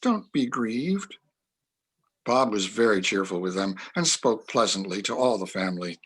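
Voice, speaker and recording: A man's voice: on the low side, expressive, moderate pace, fairly bright tone, slightly rough. A man narrating a classic story as a community reader in a dramatic reading.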